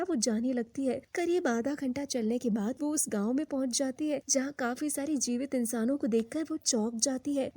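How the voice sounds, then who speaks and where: Mellow, higher-pitched woman storyteller. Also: husky, no room sound. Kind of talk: scripted story narration.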